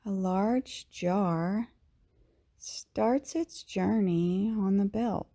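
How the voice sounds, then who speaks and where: A woman narrates a guided meditation at an extremely slow pace. Her voice is soft and mellow, sitting at an average pitch. She leaves long pauses between short phrases and lets the endings go hushed. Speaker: yoga teacher, meditation guide.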